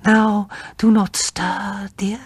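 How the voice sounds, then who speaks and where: A man voicing a fragile young wife in a gothic horror story, with a breathy, half-whispered, trembling and melodramatic delivery. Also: high-pitched, level tone, drawn-out words, dry recording, voice pushed up, heavily acted. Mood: eerie, dreamy whisper. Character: terrified, fragile young wife turned eerily dreamy.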